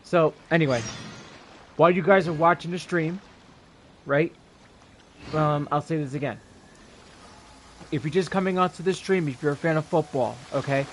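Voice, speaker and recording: A man chatting casually as a game livestreamer, rambling in a halting way and repeating himself. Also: fairly high pitch, slight background noise.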